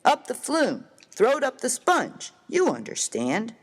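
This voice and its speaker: A man with an American accent playing a rough, slangy, grieving miner of the Old West in a dramatized comic story, with a folksy, emphatic delivery. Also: very high voice, wildly swooping pitch, takes it slowly, full character voice. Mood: earnest, slangy. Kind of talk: dramatized reading of a humorous story. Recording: reverberant hall-like room.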